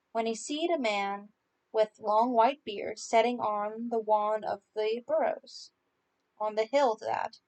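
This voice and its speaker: Female storyteller, slightly high voice, unhurried and halting, reads folk tales with casual asides. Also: darkish tone, poor audio. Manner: halting, stumbling over unfamiliar dialect words. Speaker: podcast host and story reader. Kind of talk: reading folk tales aloud with informal asides.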